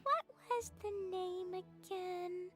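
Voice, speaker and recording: A woman's voice: very high-pitched, slow. A woman voicing a cheerful, innocent little girl in an anime-style fantasy game. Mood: innocent, chatty. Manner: speaks of self in third person, childlike. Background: playful game music.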